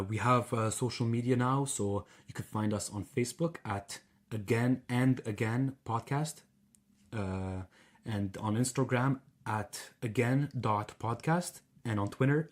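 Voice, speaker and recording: A congested man co-hosting a podcast in a casual, hesitant chat with lots of fillers. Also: mid-range voice. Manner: relaxed, hesitant with many fillers. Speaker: podcast co-host. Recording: overdriven loud mic gain.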